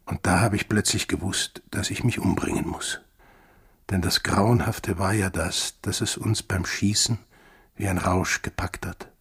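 An actor playing a guilt-ridden, bitter Austrian man in a radio drama, with a slow, hushed, brooding delivery and a husky voice. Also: sits low, fairly even intonation, heavily acted. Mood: anguished, confessing. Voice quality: husky, gravelly, breathy.

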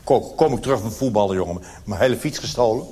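A man voicing a slangy, aggrieved schoolboy in a comic cabaret monologue, halting and repeating the starts of words. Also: lowish voice, animated, mellow, big-room sound, slight background noise, pitched below the performer's own voice, close to an everyday voice. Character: slangy schoolboy, hesitant and aggrieved, telling his story.

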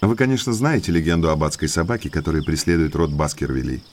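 Audio drama role: inquisitive gentleman in his thirties, deep register, courteous but slyly probing, slight background noise. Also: quick pace. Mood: probing, amused. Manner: courteous, talkative, insinuatingly polite.

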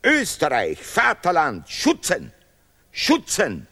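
Radio-play role: pompous zealot of language and fatherland, extremely animated, declamatory, ungrammatical, repetitive. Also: fairly high pitch, slow pace, full character voice. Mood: indignant. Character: pompous Nobel laureate artist, zealous patriot of language and fatherland. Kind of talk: experimental radio play dialogue in broken, chanted German.

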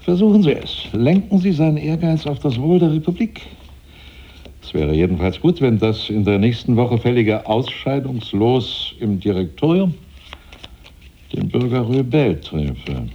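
An actor playing a shrewd, flattering banker of Napoleonic France in a German radio drama, speaking politely and insinuatingly. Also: male voice, medium pitch, a little slow, slightly rough, highs cut off. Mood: persuasive.

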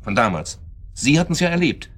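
An actor playing a bitter, accusing club member in a radio drama, speaking in a pointed, sardonic way. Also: male voice, fairly high pitch, big pitch swings, normal speed, fairly bright tone. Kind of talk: naturalistic radio drama acting.

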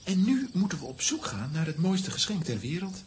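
Verstandige, levendige jongen, vrij laag. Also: female voice, on the low side, very animated, fairly quick, a little mellow, slight background noise. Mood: curious. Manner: steadying, firm. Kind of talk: children's audio drama acting.

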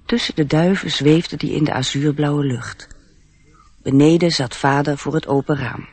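An actor as the gentle narrator of a fairy tale in a radio play, telling it in storybook style. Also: male voice, on the high side, fairly even intonation, normal speed, husky. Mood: lyrical.

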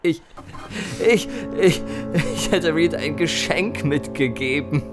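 Slow, stammering dying man. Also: on the high side, music in the background. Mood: fading, distraught. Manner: halting, stammering, slurred. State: weak, fading. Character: broken, dying man confessing in halting fragments.

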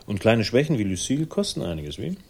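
A man's voice: medium pitch, big pitch swings, quick pace, creaky, darkish tone, husky, full character voice. An actor in a 1960s crime radio play, playing a wry, laconic man out to avenge his sister and narrating it himself. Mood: probing, dry. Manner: dry, sardonic, laconic. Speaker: lead character and first-person narrator.

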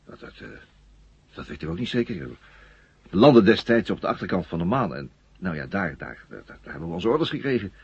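A low, very raspy male astronaut, a hypnotised traitor in an old radio drama. He speaks haltingly and earnestly with swinging intonation. The recording is dry and dull. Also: sing-song, dry recording, exaggerated voice. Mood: uncertain. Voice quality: husky, raspy. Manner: halting, intense, repeats words. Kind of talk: 1970 Dutch radio play acting.

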